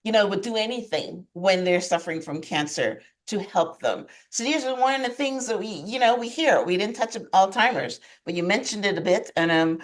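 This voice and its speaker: A woman's voice: mid-range voice, lively intonation, moderate pace, few pauses. A woman from Guyana hosting a podcast, chatting casually and encouraging her guest. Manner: warm encouraging interjections, laughs often. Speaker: podcast host. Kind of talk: casual interview chat.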